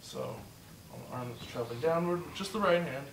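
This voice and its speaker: A man teaching a movement drill step by step, encouraging and repeating himself, slightly hoarse and short of breath. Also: on the high side, expressive, fairly quick, echoey room, far from the mic, background noise. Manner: encouraging, repeats "just like that" and "good". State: losing his voice, a bit out of breath. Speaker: instructor. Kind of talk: step-by-step demonstration lesson.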